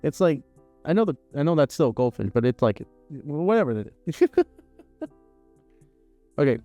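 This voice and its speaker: A man's voice: a little high, animated, moderate pace, mellow, no room sound, lo-fi sound, soft background music. A young man chatting casually on a live stream while crafting, rambling, laughing and swearing as he reads chat aloud. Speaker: arts and crafts livestreamer.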